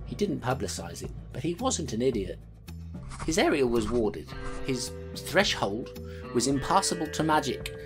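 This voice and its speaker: A woman's voice: extremely low pitch, big pitch swings, moderate pace. A woman playing a blunt, wry young constable who narrates her case and answers guardedly. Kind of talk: audio drama narration and dialogue. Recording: music playing behind.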